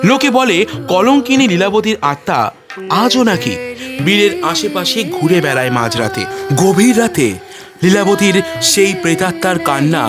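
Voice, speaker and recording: A man narrating a story in a measured, literary and dramatic way, with strong emphasis. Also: sits high.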